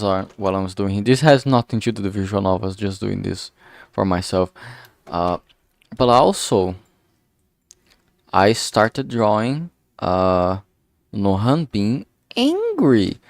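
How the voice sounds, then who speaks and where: A man's voice: fairly low pitch, vocal fry, no echo, studio quality. A man giving casual, rambling commentary while streaming his drawing work, informal and self-deprecating in manner. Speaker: streamer making a visual novel.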